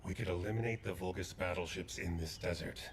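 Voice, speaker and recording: A man voicing a very deep-voiced calm soldier. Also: brisk, a little hiss or hum, natural voice. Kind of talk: video game dialogue.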